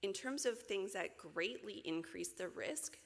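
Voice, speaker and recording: A woman in her forties with a raspy voice today, giving a measured conference lecture from a podium with frequent ums and restarts. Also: mid-range voice, animated. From Canada. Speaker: physician lecturer. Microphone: podium microphone. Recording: reverberant hall, from camera.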